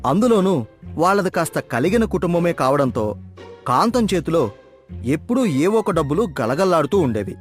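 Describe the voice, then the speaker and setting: A man narrating a scripted moral story as storyteller, with music playing behind him. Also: fairly high pitch.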